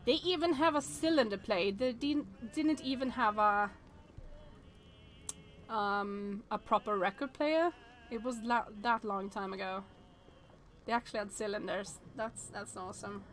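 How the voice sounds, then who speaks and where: A woman's voice: medium pitch, unhurried, fairly bright tone. A woman in her thirties streaming a visual novel, chatting and thinking aloud with hesitant restarts. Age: 30s.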